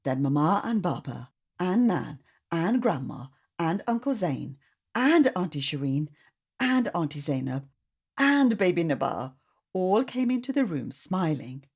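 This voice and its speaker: A woman reading a storybook aloud to children in an expressive narrating style. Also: on the low side, measured pace, husky.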